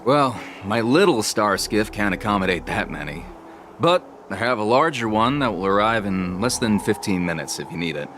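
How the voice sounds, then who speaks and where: Lowish-voiced skiff pilot over music. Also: male voice, sing-song, moderate pace, a bit bright. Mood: businesslike. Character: busy, obliging male star skiff pilot. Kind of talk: conversational video game acting. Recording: music under the voice.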